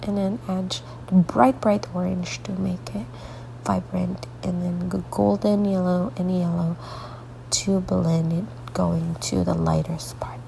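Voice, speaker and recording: Woman, congested and sleepy, deep vocal fry, mellow tone; soft rambling tutorial host who slips into near-whispers. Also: little pitch movement, slow, slight background noise, low-quality recording. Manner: soft, rambling, drifts into half-whispered asides. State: congested, stuffy nose; sleepy late at night. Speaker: artist hosting a coloring tutorial. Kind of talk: casual coloring tutorial narration.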